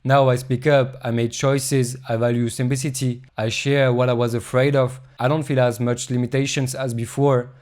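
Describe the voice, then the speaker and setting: Man, reflective voice-over, calm short phrases, monotone delivery, mid pitch. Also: normal speed, hardly any pauses. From Europe. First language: French. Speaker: travel vlogger. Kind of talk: reflective scripted voice-over narration.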